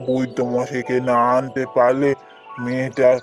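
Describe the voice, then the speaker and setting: Man playing an earnest village gentleman, music behind. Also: medium pitch, monotone, normal speed, close to an everyday voice. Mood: grateful. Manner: earnest, familiar, speaking as an elder to a junior. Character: grateful village gentleman, earnest father, kindly patron. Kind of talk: cartoon fairy tale voice acting.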